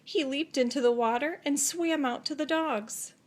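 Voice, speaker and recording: A woman narrating a children's story expressively, with deliberate phrasing and dramatic pauses. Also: sits high. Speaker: storybook reader.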